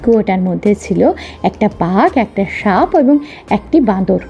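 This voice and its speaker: A woman's voice: medium pitch, expressive, moderate pace, gravelly, lo-fi sound. A woman narrating a scripted children's story as a storyteller. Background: soft background music.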